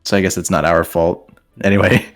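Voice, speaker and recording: A man's voice: deep voice, level tone, fairly quick. A man chatting casually as a comedy commentator, joking in a mock-earnest way. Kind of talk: casual comic chat.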